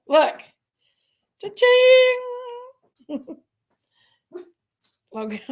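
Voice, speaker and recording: A woman with a Southern American accent, raspy and congested from allergies, chatting casually on a livestream and reading out viewers' names. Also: on the high side. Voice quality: raspy, gravelly. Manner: drawling, reads out chat names. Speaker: livestream host.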